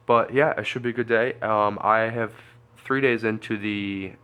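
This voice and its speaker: Stuffy-nosed male coach, low voice with a sharp bright edge, loose and chatty. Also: fairly even intonation, moderate pace, clean tone. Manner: chatty, loose, thinks out loud with fillers. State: congested, stuffy nose. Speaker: strength coach and lifter. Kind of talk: casual training vlog.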